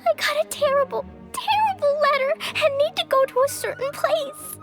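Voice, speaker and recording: A woman voicing a small, earnest, naive and excitable girl in a video game cutscene, talking in a childlike way. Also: extremely high pitch, normal speed. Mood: worried, upset. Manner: childlike, speaks of self in third person. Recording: music behind the voice.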